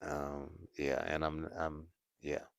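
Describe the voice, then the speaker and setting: A man's voice: a bass voice, completely flat delivery, slow pace, dry recording, clean studio sound. A man hosting a casual livestream, chatting to viewers and laughing often. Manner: laughs often, repeats "at the end of the day". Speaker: host.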